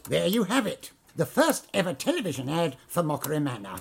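Raspy, high-voiced chipper boss. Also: male voice, very animated, fairly quick, exaggerated voice. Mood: upbeat, awkward. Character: chipper, awkward male amusement park boss addressing staff. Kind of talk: comic audio drama acting.

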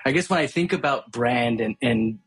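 A man's voice: medium pitch, moderate pace, slightly rough. A middle-aged man from Hong Kong, a brand strategist, explaining his ideas thoughtfully in an interview. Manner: thoughtful, explanatory, with frequent restarts. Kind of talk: podcast interview conversation.

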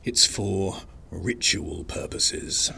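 Low gravelly man narrating. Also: drawn-out words, bright, forceful tone, a little hiss or hum. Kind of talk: scripted first-person fiction narration.

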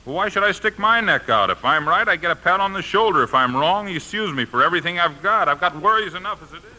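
Worn-down suspicious inspector, piercingly bright voice, clipped delivery, 1950s radio play, slight background noise. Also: male voice, high voice, animated, quick pace, heavily acted, low-quality recording. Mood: exasperated. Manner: clipped, skeptical, grudging. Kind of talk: 1950s radio drama acting. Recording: old radio broadcast, faint noise.

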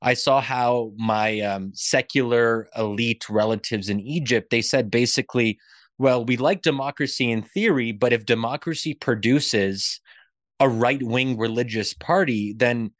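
A man's voice: mid-range voice, a little slow, no room sound. American man in a dry studio sound. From Pennsylvania, United States. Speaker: interview guest. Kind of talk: reflective political discussion.

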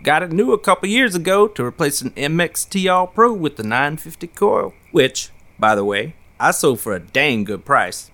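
A man's voice: fairly high pitch, animated, normal speed, few pauses, bright, forceful tone. An actor playing a garrulous, folksy big man, a self-satisfied hobbyist and small-time officer, in an audio drama. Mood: proud, boastful.

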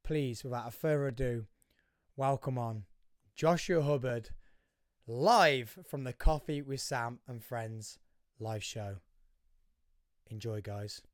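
Male host, husky and hoarse, chatty solo intro, smooth tone, dry close sound. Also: mid-range voice, slow pace, pauses often, no echo. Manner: chatty, rambling, addresses listeners as guys. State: hoarse, voice fading. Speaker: podcast host. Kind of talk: casual solo podcast intro.

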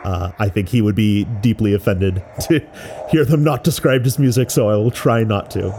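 A man who works as a musician explains experimental music in a measured, thoughtful way with pauses. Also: fairly low pitch, dark tone, slight background noise. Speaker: musician and composer. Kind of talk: explanatory talk about making experimental music.

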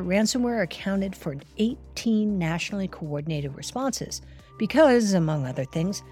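A woman's voice: on the low side, darkish tone. A woman hosting a cyber news podcast, reading scripted narration in a conversational way. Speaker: podcast host.

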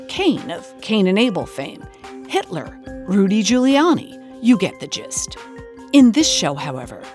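A woman reading scripted podcast narration with dry humor. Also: medium pitch. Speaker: show narrator. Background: music.

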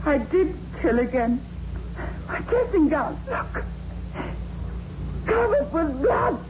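A woman's voice: high-pitched, big pitch swings, slow pace, heavily acted. An actress playing a terrified, deluded woman who believes she is a killer, tearful and melodramatic in 1960s horror radio style. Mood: hysterical, despairing. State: crying, distraught. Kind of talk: 1960s suspense radio drama acting. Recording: muffled old radio recording, clear hiss.

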